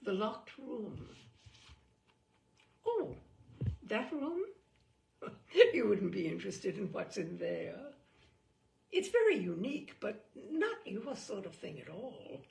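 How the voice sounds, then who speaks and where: A woman's voice: mid-range voice, very animated, slow pace, vocal fry, pauses often, darkish tone, roomy sound. A woman reading a humorous mock guidebook aloud in an arch, theatrical, knowing manner. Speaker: storyteller reading a book aloud.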